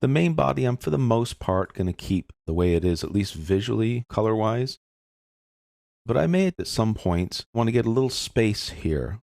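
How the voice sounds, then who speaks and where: A man narrating a software tutorial in a casual, step-by-step explaining manner. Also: fairly low pitch, very animated, moderate pace, vocal fry, no echo, clean studio sound. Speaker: software instructor.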